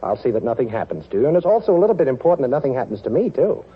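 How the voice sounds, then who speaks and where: A man's voice: sing-song, rapid-fire, breathy edge. An actor playing a cool, wisecracking male amateur sleuth in a 1940s radio drama, breezy and self-assured. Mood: firm, guarded. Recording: muffled old radio recording, faint noise. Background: vehicle rumble.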